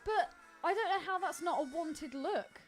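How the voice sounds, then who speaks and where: A woman co-hosting a podcast with casual banter, blunt, teasing and quick to swear. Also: very high-pitched, lively intonation. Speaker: podcast co-host. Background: music playing behind the talk.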